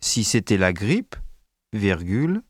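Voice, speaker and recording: Slow, deliberate man reading a dictation. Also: on the low side, sing-song, dry recording, clean studio sound. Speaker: dictation reader.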